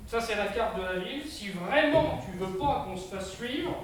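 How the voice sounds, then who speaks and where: An actor in a live improv show playing a cautious plotter who explains slowly and deliberately. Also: male voice, high voice, lively intonation, slight background noise. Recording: echoey stage from a distance.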